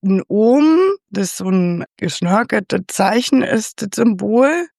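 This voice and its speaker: Chatty woman with a gravelly edge. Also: mid-range voice, lively intonation, takes it slowly, a little mellow, no echo, studio quality. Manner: chatty, a bit unsure, asks for confirmation. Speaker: host. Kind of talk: casual explanatory overview.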